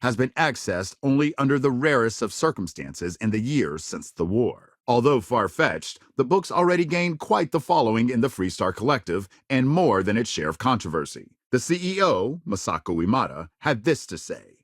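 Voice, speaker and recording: An actor playing a polished, earnest news reporter in a sci-fi video game, reading scripted bulletins in a measured, emphatic delivery. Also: male voice, medium pitch, big pitch swings, dry recording, clean studio sound. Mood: serious, formal.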